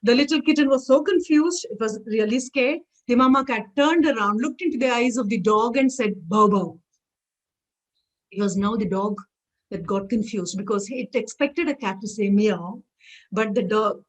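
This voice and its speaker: Woman, Indian English accent, Tamil native speaker, fairly high voice, emphatic lecturer, repeats herself, storytelling. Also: normal speed, poor audio. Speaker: guest lecturer.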